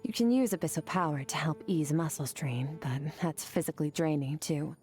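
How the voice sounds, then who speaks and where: Game role: aloof female fighter, low register, reflective, measured lines. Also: animated, dark tone, soft background music. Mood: matter-of-fact. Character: composed, aloof female warrior musing on life and training. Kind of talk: scripted game character voice lines.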